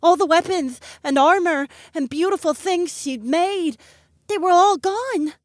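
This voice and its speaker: A man's voice: extremely high pitch, unhurried, no echo, studio quality, voice pushed up. A man voicing a frightened young fox-girl farmhand, breathless and tearful as she pleads for help.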